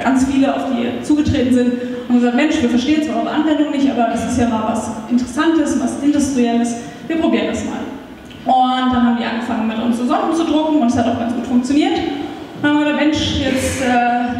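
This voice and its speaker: A high-pitched woman with a light lilt speaks into a handheld microphone on a meetup stage. She talks in a lively, chatty way, full of little filler sounds. The recording is taken from across a large hall, so her voice rings with heavy echo and a long tail. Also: a little hiss or hum. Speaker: startup founder giving a talk. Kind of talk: conference talk. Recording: echoey hall, taped from far off.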